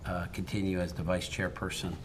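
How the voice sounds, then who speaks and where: Low-voiced college president with halting delivery. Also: male voice, level tone, background noise. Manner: polite, slightly halting, with many fillers. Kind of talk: formal meeting remarks.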